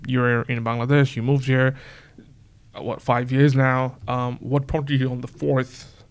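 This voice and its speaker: A man hosting a casual interview, asking long, rambling questions full of fillers and restarts. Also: medium pitch. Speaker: podcast host.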